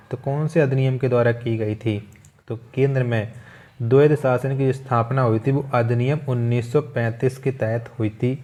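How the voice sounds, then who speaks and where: A man's voice: mid-range voice, a little mellow. A man teaching an exam-prep quiz lesson, reading out questions, asking them again rhetorically and repeating the answers.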